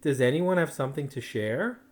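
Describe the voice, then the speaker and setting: A man's voice: medium pitch, animated, a little fast, close to an everyday voice. A man voicing a kindly, cheerful female schoolteacher in a storybook, with an encouraging classroom manner. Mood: pleasant. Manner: bright, encouraging classroom delivery.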